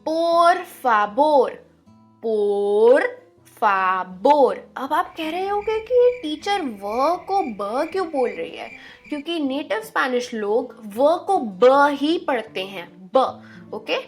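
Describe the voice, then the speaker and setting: A woman from India with a high voice gives an online Spanish lesson. She speaks very slowly and stretches each word as she drills it. Soft music plays faintly behind her. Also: sing-song. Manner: slow, drawn-out pronunciation drills, repeating words. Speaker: Spanish teacher.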